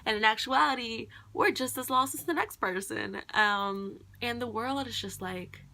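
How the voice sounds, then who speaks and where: Young woman, native Khmer speaker, bright voice, loose rambling vlog delivery. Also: a little high, moderate pace, vocal fry, rough recording. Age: young adult. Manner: rambling, heavy on "like" and "um". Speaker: college student vlogger. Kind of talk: casual rambling monologue.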